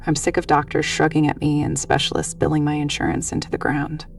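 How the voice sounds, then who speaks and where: A woman playing a weary, defensive letter writer in a scripted horror story, with a gravelly, creaky voice. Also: low voice, monotone, a little fast, distant mic. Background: music.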